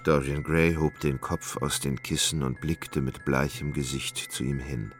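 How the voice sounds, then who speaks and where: A man's voice: extremely low pitch, little pitch movement, unhurried. A man narrating a literary radio drama as a storyteller, in a dramatic, suspenseful way. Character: dramatic storyteller of a Gothic tale. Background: music.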